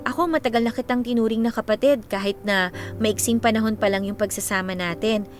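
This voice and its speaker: Actress as a high-voiced, confident young Filipina influencer. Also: female voice, steady tone, brisk, close to an everyday voice. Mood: tender. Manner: breezy, switching between Tagalog and English. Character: Eiffel, confident, playful, big-hearted young woman influencer. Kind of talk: radio drama acting. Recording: music bed under the voice. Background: music.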